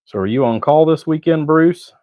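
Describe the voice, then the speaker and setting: A man's voice: fairly high pitch, normal speed, mellow, dry recording, clean studio sound. A man chatting casually on a livestream while he works at a bench, rambling along in a laid-back, folksy way. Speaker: livestream host, hobbyist amplifier builder.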